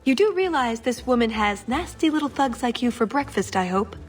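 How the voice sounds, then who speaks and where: Woman as a wry, higher-voiced elf rogue. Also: animated, a little fast, faint music behind, lightly acted. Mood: sardonic. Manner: casual, dry, cheeky. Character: wry, quick-witted, practical female elf rogue. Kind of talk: video game cutscene acting.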